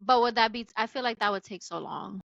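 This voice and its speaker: A woman's voice: on the high side, brisk, vocal fry, fairly bright tone, no echo, lo-fi sound. A woman chatting casually as a podcast co-host, with a slangy, playful and opinionated way of talking. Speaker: book club podcast co-host. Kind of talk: casual chat.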